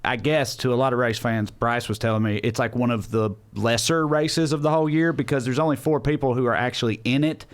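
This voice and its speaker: A man's voice: medium pitch, brisk, few pauses. A man with a Southern US accent chatting casually and profanely as a podcast co-host, telling stories in a rambling, conversational way. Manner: rambling, conversational, swears freely. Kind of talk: casual profane chat and storytelling.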